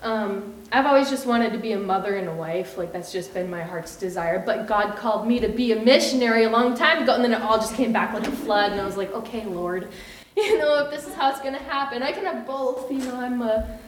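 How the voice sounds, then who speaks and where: A woman's voice: a little high, fairly quick. An American woman in her thirties giving a chatty personal testimony at church, full of fillers and quoted dialogue. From United States. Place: church hall. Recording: echoey room, mic at a distance.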